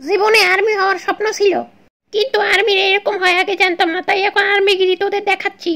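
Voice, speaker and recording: A very high, squeaky cartoon boy, cheeky and boastful. He talks in taunts and exclamations, in the broad manner of comic cartoon dubbing. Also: little pitch movement, fast, sharp tone. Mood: cocky, taunting. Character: cheeky, boastful childlike cartoon troublemaker named Shubhojit, male.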